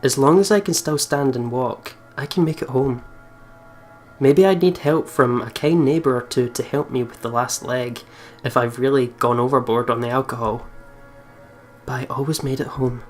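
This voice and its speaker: A man talks through a video game in a casual let's play style, reading story text aloud and adding his own commentary. His pitch sits in a middle range, with some game music behind him. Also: normal speed. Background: visual novel game music.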